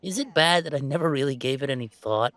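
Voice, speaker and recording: A man playing a laid-back, self-deprecating young gamer in a roleplay audio drama, chatting in a halting, playfully exasperated way. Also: medium pitch, fairly bright tone, no echo, lightly acted. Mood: casual, reflective. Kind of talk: roleplay audio drama, casual one-sided conversation.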